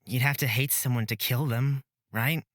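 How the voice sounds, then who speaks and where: A woman's voice: very deep voice, fairly even intonation, moderate pace, dry recording, pitched below the performer's own voice. A woman voicing a nervous yet defiant young man, the hero of a fantasy visual novel. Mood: hesitant. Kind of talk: visual novel character acting.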